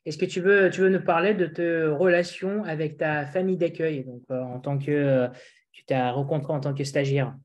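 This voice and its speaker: A man's voice: on the high side, steady tone, normal speed. A man hosting an online meeting informally, polite and stop-and-start in his delivery. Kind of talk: informal moderating.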